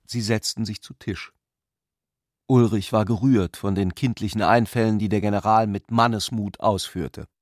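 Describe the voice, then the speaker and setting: A man's voice: on the low side, fairly even intonation, normal speed, no room sound, clean studio sound. A man reading literary prose and its dialogue as the narrator of a radio play.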